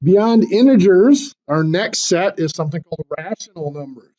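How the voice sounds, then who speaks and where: A male teacher giving a math lecture in class, hoarse and clearing his throat as he loses his voice, explaining step by step with fillers and restarts. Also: high-pitched, lively intonation, moderate pace, dark tone, highs cut off.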